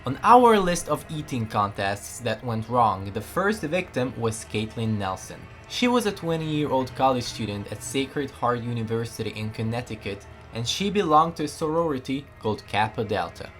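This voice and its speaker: A man reading a scripted voiceover narration with lively storytelling delivery. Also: mid-range voice, normal speed, hardly any pauses, soft background music.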